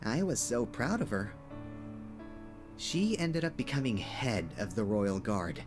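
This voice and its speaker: A man voicing a gentle, lonely young cursed character in an animated fan dub, hesitant and apologetic as he confides. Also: fairly low pitch, unhurried. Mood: wistful. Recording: music playing behind the voice.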